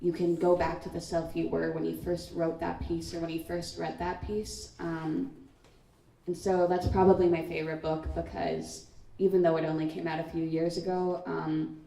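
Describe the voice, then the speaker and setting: A young woman speaks thoughtfully at a school book launch, often pausing with "um" and "like". Her lowish, gravelly voice sounds mellow and sits at a distance from the mic. The hall around her rings with echo. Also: level tone. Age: teens. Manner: thoughtful, many "um" and "like" fillers. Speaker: student writer on a panel. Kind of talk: reflective panel answer. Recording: echoey room, far from mic.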